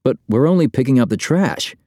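A man's voice: medium pitch, lively intonation, a little fast, mellow, no echo, studio quality, voice pushed up, lightly acted. A man voicing a confident but uneasy engineer in an audiobook, plain-spoken and stubborn. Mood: defensive.

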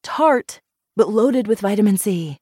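A woman's voice: medium pitch, lively intonation, measured pace, mellow, husky, no room sound, pitched above the performer's own voice. Woman reader playing a guarded lady doctor in an audiobook, terse and wry, close dry sound.

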